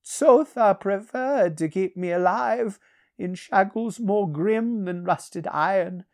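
A woman's voice: medium pitch, slow, darkish tone, voice pushed up. A woman voicing an ancient aristocratic male sorcerer with a wry, archaic, theatrical manner in a fantasy audiobook. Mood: anguished, bitter.

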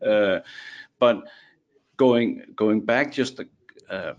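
A man's voice: lowish voice, drawn-out words, highs cut off. A man from Denmark with a Danish accent, a guest on a casual podcast chat, who restarts and repeats words often.

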